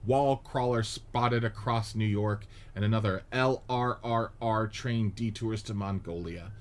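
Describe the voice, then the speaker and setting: A man narrating the stage directions of a script reading in a steady, matter-of-fact way. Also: lowish voice, unhurried.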